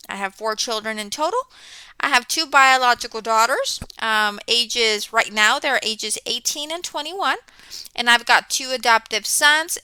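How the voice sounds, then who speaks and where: A woman's voice: sits high, pushed and bright, clear, resonant tone, no echo. A middle-aged woman from Texas, born in Mexico, speaking as a college instructor welcoming students in an enthusiastic, explanatory way. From born in Mexico, grew up in Texas, USA. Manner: enthusiastic, explanatory, often checking in with okay. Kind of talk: welcome announcement to students, semi-scripted talk.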